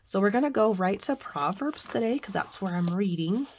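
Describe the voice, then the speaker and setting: A middle-aged woman, hoarse from the flu, chatting casually and rambling a bit as she hosts a faith and crafting video at home. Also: medium pitch, animated, normal speed, slight background noise. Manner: chatty, rambling with frequent fillers. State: hoarse, recovering from flu with a cough. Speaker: craft channel host. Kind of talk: casual devotional and crafting chat.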